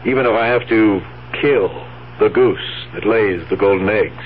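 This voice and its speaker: An actor playing a scheming, smooth-talking nephew in a 1970s radio drama. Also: male voice, on the low side, moderate pace, faint music behind, natural voice. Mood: cold, irritated. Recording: dull, hissy vintage radio broadcast.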